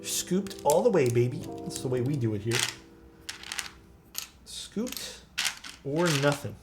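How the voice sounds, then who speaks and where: Casual live-streamer, male, soothing tone, expressive pitch, over steady background music. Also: slow. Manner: relaxed, chatty, reads viewer chat aloud and answers. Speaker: streamer building a keyboard. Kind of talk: casual live-stream chat while working. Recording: clear background music under voice. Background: music playing and keyboard clacking.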